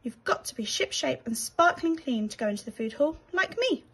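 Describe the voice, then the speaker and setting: Woman voicing a pompous, fussy storybook rat gentleman. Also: high voice, animated, voice pushed up. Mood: proud, softened. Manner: haughty, chatty, fastidious. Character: pompous, fussy, vain but kindly male rat.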